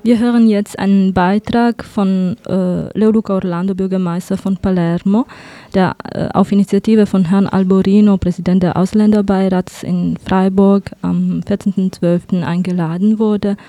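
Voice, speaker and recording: A woman presents on radio, introducing a report. Her voice is very mellow and relaxed, at a medium pitch, with a halting, measured delivery. The sound is dry. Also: dry recording.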